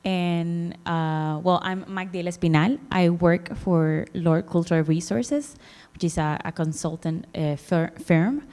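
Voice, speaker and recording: A lowish, mellow-voiced woman from the Dominican Republic presents at a conference, pausing often with fillers and restarts. Her voice is smooth and resonant, with a long reverb from the hall around her. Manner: hesitant, many fillers and restarts.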